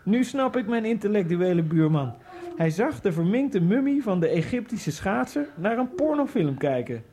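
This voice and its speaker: An actor playing a dead Egyptian immigrant man who narrates his own fate in a radio drama, in a quiet, dry and sardonic way. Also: sits high, very animated, normal speed, noisy background. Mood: wry. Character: dead male Egyptian immigrant, wry and detached. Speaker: first-person narrator.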